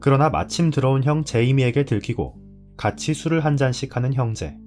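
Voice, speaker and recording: A man's voice: mid-range voice, animated, fairly quick, darkish tone, soft background music. A man hosting and reading a scripted narration. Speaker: host.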